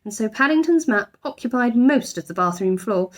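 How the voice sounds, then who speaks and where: A woman giving an expressive narration of a children's storybook, like a teacher reading aloud. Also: on the high side, moderate pace.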